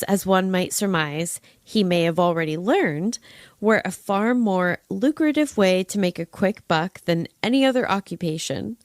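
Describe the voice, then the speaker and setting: A woman podcast host with a very lively, varied pitch and a close, dry sound. She reads scripted narration in a wry, slightly ornate way, then slips into casual, playful asides. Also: dry recording. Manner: wry, playful narration with old-fashioned flourishes. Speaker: true-crime podcast host.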